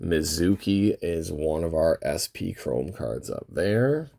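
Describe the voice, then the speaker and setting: A man with a voice worn hoarse by a cold, hosting a casual, unscripted card box opening and rambling as he thinks aloud. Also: low voice, expressive, slow pace, warm tone. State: losing his voice from a lingering cold. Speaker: host of a trading card box-break channel.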